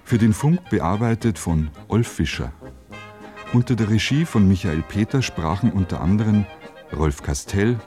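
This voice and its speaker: Low warm guy announcing radio credits, formal, bit of brass music behind. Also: lively intonation, normal speed.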